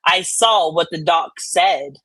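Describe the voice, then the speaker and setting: A woman bantering casually and reading online comments out loud in a blunt, sweary, folksy way. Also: fairly low pitch, slow, husky, no echo. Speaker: co-host reading comments aloud.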